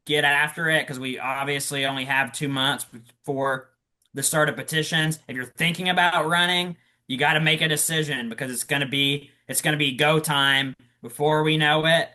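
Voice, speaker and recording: A man's voice: on the high side, steady tone, normal speed, pushed and bright. A man co-hosting a political podcast, plainspoken and direct. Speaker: podcast co-host. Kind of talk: casual podcast chat.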